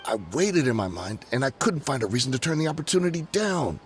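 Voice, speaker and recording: An actor voicing a shifty, nervous smuggler in a video game, with a wheedling, evasive, excitable way of talking. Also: male voice, medium pitch, fast, faint music behind, natural voice. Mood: guarded. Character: shifty, nervous, bargaining male smuggler and ex-mercenary.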